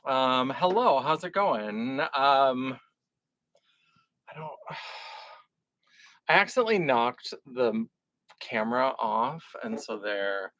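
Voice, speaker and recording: A man's voice: medium pitch, lively intonation, slow, pauses often, a bit bright, no echo, dull audio. A man who performs in drag, hosting a casual live unboxing stream with a froggy, phlegmy throat, chatty and swearing now and then. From North Dakota. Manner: chatty, swears casually, greets chat viewers. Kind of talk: casual livestream chat.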